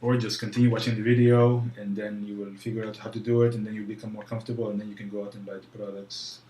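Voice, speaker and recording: A low-voiced man gives an informal tutorial in a put-on French accent. His delivery is flat and halting, with hesitant phrasing. The recording is roomy and echoey. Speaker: tutorial presenter. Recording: roomy echo, faint background noise.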